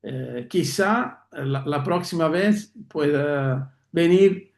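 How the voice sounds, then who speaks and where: A man's voice: fairly high pitch, very slow, creaky. A man speaking Spanish with an Italian accent as a guest on a video call, hesitant and searching for his words. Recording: roomy video call audio.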